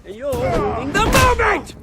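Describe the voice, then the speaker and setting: A man's voice: very high voice, sing-song, measured pace, very noisy, heavily acted. An actor with a British accent playing a cocky, bitter, theatrical fallen rich man in a video game. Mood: menacing, explosive. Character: bitter, scrappy fallen rich man, childhood friend turned volatile.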